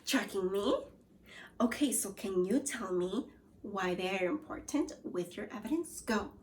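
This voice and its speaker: A woman's voice: mid-range voice, expressive, measured pace. A woman teacher leading a read-aloud lesson for young children, asking questions and guiding them in an encouraging, instructive way. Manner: encouraging, instructive, addressing pupils directly. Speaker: elementary school teacher.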